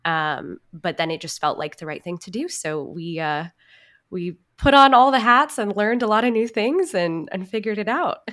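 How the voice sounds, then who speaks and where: A woman's voice: mid-range voice, expressive, normal speed, a bit bright. A woman answering interview questions conversationally as a podcast guest. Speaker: podcast guest, actor and writer.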